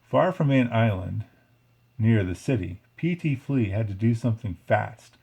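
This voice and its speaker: Animated male storybook reader, slightly husky. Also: on the low side, a little slow. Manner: expressive, chatty asides explaining the story. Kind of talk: read-along story narration with casual asides.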